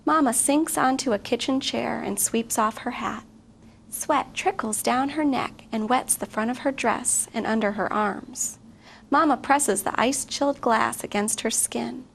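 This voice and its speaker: A woman's voice: a little high, a little slow, creaky, poor audio. A woman reading a picture book aloud expressively to children. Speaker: guest story reader. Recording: faint background noise.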